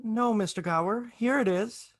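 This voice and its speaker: A woman's voice: a little high, moderate pace, close to an everyday voice. A woman playing an earnest, pleading young boy in an old-time radio drama. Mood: pleading, frightened. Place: remote video call recording.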